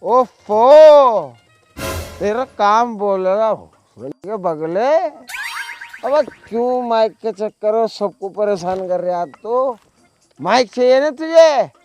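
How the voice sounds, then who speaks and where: A man playing an exasperated villager, reproachful and drawn-out, in a comedy sketch. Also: sits high, lively intonation, darkish tone. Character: exasperated villager scolding the squabblers. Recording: dull lo-fi sound, background music.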